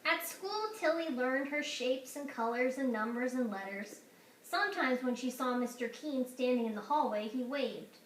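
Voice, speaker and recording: A woman reading a children's story aloud with expressive narration. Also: sits high, moderate pace. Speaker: storybook reader. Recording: echoey, distant, faint room noise.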